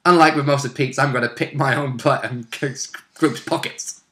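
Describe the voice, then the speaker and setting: A man's voice: medium pitch, moderate pace, a bit bright. A man talking casually and informally about a tabletop role-playing session, as one of its players.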